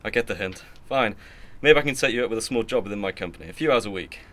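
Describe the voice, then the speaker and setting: A man acting a well-off, boastful, stern middle-aged uncle in a radio drama, with a pompous, measured way of talking. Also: fairly low pitch, lively intonation, fairly bright tone.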